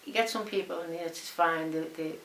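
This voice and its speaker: A woman's voice: lowish voice, quick pace, a bit bright. A woman. She talks as an interviewee in a roomy space, with faint hiss on the recording. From Liverpool, England. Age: 70s. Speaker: documentary interviewee. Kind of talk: unscripted interview answers.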